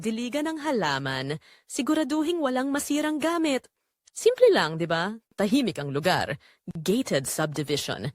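Woman narrator with lively, swooping pitch. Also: no echo, clean studio sound. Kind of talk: scripted first-person audio drama narration.

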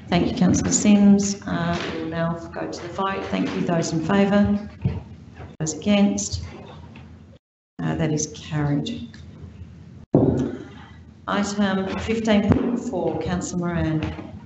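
A woman chairing a council meeting, calling items and votes in a brisk procedural manner. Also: lowish voice, steady tone, gravelly, muffled recording. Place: large formal council chamber. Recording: echoey chamber with some noise.

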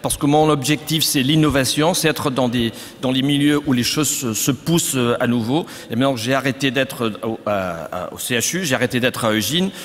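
A man in his fifties with a Spanish accent, speaking as a gynecologist guest on a conference panel, frank and often pausing to find his French words. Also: mid-range voice. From Spain. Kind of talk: panel discussion. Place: conference stage. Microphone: stand mic at table. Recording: reverberant hall.

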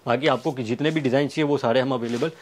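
A man in his thirties giving a sales pitch to camera, listing things with repetitive emphasis. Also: steady tone, quick pace. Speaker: fabric manufacturer's representative presenting stock. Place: fabric showroom indoors. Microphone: clip-on wireless lapel mic.

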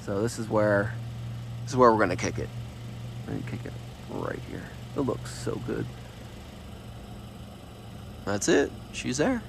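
A man's voice: lowish voice, a little slow, creaky, pauses often, background noise. Stuffed-up young guy filming his car. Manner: relaxed, rambling, thinks aloud with fillers. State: stuffed-up nose. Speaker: car vlogger. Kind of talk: casual hands-on car vlog. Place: outdoors on a residential street and at a gas station. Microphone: handheld phone camera.

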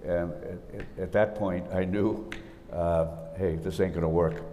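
A deep, dark-toned older man speaks haltingly, with plenty of pauses and fillers. Hall reverb and a faint rumble sit around his voice. He talks like a veteran telling a story in a relaxed way. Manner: halting, folksy. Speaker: panel guest, retired naval officer. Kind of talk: recounting war memories. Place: large auditorium stage.

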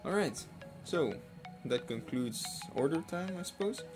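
A man's voice: on the high side, animated, measured pace, over music. A man giving casual, hesitant unboxing commentary as a hobby builder, with offhand trailing asides. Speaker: hobby builder and video host. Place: indoors at a wooden table. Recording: faint noise, crinkling packaging. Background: clicks and crinkling plastic bags.

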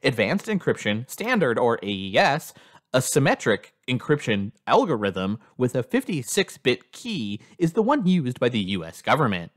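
A man's voice: mid-range voice, very animated, normal speed. A man reading a scripted instructional narration in an explanatory manner as a lesson narrator.

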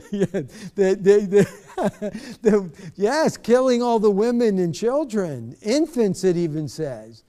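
A man's voice: sits high, sing-song, slow pace, mellow. A middle-aged man, a pastor, teaching a bible study from a church stage in a conversational way, often repeating words as he searches for them.

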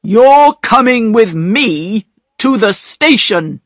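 Stern male station master played by a woman, storybook dialogue, weighty slow delivery, animated emphasis. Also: on the high side, no room sound, studio quality, voice pushed up. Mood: angry, accusing. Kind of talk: acted audiobook dialogue.

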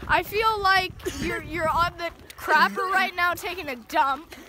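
High-voiced woman baiting a scammer on a noisy call, slow and feigning confusion. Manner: playing dumb, then mocking. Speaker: prank caller baiting a scammer. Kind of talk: prank phone call.

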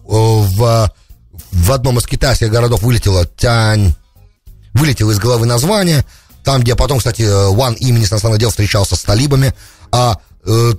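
Middle aged guy doing radio politics, talks fast, slightly husky voice, really close dry studio mic. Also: medium pitch, dry recording. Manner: peppers speech with English terms. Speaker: radio political show host. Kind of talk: extemporaneous political commentary. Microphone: close studio broadcast mic on boom arm.

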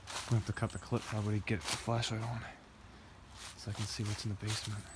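A young man vlogging as he explores an abandoned house, talking to his viewers in a hushed, wary way on a handheld phone. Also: fairly low pitch, flat delivery, quick pace. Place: abandoned house, indoors and outdoors. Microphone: phone camera held in hand. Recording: loud background noise.

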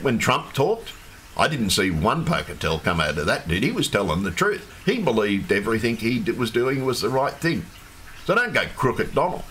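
A man, hoarse and losing his voice, ranting in an agitated, repetitive livestream monologue with some swearing. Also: mid-range voice, fairly bright tone. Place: home room with fish tank. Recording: faint background hum from fish tank.

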